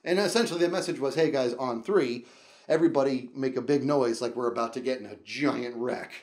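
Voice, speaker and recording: A middle-aged man telling a casual, rambling comedic story in an animated way, acting out dialogue and reactions as he goes. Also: mid-range voice, brisk, echoey room. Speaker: storyteller and show host.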